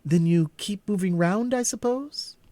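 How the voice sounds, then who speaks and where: A man's voice: high-pitched, unhurried, dark tone, dry recording, voice pushed up. A man reading a storybook aloud and voicing a polite, inquisitive young girl in a raised voice. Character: prim curious young girl.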